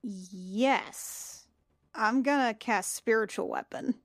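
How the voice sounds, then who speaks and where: A woman's voice: on the high side, takes it slowly, fairly bright tone, dry recording, clean studio sound. A woman playing a tabletop role-playing game, chatting casually with an irreverent, sweary manner.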